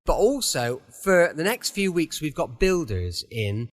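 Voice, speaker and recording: A man's voice: fairly high pitch, sing-song, a little slow. A man hosting an old-time radio podcast from his home, chatting in a friendly, rambling way before the episode. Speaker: podcast host. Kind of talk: casual chatty podcast introduction.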